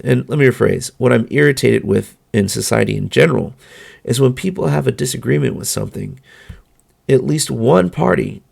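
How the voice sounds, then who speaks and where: Podcast host, male, very gravelly fry, mid pitch, earnest and halting, throat going hoarse. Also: a little mellow. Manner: earnest, measured, halting with fillers. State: hoarse, losing his voice. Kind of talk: solo opinion monologue.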